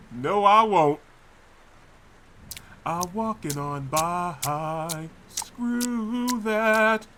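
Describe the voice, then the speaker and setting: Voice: medium pitch, fairly bright tone, a little hiss or hum. An actor voicing a sarcastic, whiny cartoon pony, a reluctant hero who stammers exasperated protests in a comedic audio drama. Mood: nervous disbelief.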